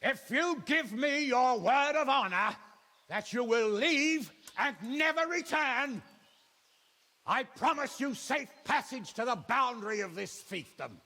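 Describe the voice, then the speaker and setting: An actor playing a commanding noble knight in a video game, formal and declamatory, calling out across a distance. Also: male voice, very high voice, lively intonation, takes it slowly, pushed and bright, big-room sound, heavily acted. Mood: firm, formal. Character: commanding male noble knight negotiating a siege. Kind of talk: cinematic video game acting.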